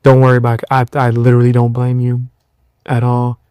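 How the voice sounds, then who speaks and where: A tired young man hosting a podcast, giving blunt, mocking commentary in loose slang with a low voice. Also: completely flat delivery, fairly quick, a little mellow, clean tone, dry recording. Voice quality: lower voice. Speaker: podcast host. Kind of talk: casual commentary.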